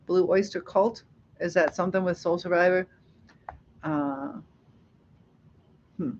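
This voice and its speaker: A woman's voice: lowish voice, steady tone, a little slow, darkish tone, a little hiss or hum. A middle-aged woman from Ohio hosting a casual livestream, rambling and laughing as she reads the chat. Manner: chatty, rambling, laughs often. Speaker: livestream host. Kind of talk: casual live chat, reading comments. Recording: dull lo-fi livestream audio.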